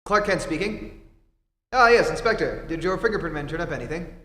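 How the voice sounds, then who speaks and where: An earnest, urgent male newspaper reporter acted by a man in old radio-serial style. His voice sits fairly high for a man and he speaks emphatically, taking charge. The big hall echoes around him over a humming noise. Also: animated, brisk, bright, forceful tone. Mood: astonished. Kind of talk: old-time radio serial acting on stage. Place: theatre stage in a live performance.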